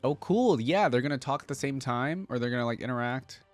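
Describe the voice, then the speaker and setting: A man's voice: medium pitch, lively intonation, brisk, faint music behind. A young man hosting a reaction video, giving casual, chatty commentary.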